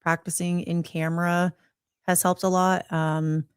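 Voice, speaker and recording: Casual co-host, woman, low-pitched, dry sound, hesitant chatty delivery. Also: flat delivery, unhurried, clear, resonant tone, no room sound. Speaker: podcast co-host. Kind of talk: casual chat.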